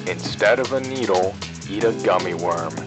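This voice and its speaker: A man reading a public service announcement voice-over in a slow, drawn-out way. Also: low voice, over music. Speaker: PSA narrator.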